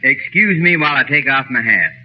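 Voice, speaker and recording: An actor playing a folksy, proud Texan millionaire with a homespun, boastful drawl in an old radio comedy. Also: male voice, mid-range voice, expressive, fairly quick, lightly acted. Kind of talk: 1940s radio comedy acting. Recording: noisy old radio broadcast, dull.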